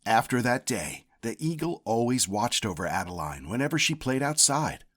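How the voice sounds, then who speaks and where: A man reading a children's picture book aloud as a storyteller, with a deliberate, expressive delivery. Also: medium pitch. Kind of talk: scripted read-aloud narration.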